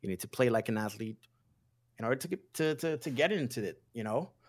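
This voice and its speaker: Man, mid pitch, loose podcast-guest chatter with frequent restarts. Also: expressive, fairly quick. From Haiti. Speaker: podcast guest, streaming coach. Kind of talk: casual podcast chat.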